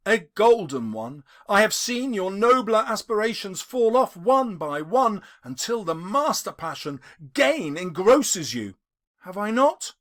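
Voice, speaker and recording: A man voicing a gentle, sorrowful but resolute young woman in an audiobook of a classic novel. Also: medium pitch, sing-song, measured pace, voice pushed up. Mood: sad reproach.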